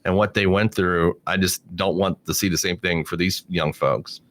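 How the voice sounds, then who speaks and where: A man's voice: low-pitched, steady tone, normal speed, fairly bright tone. A man in his forties talking politics in a casual podcast chat, rambling with many restarts and fillers. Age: 40s. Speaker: podcast guest.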